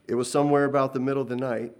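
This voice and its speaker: A man's voice: mid-range voice, flat delivery, very fast, clean tone, lightly acted. A man voicing a shaken old prophet. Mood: earnest. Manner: hushed, earnest recounting. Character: old male prophet, shaken, earnest and pious.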